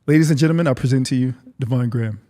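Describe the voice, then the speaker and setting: A man's voice: mid-range voice, racing, warm tone. A man hosting a podcast in a casual, conversational interview style. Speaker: podcast host.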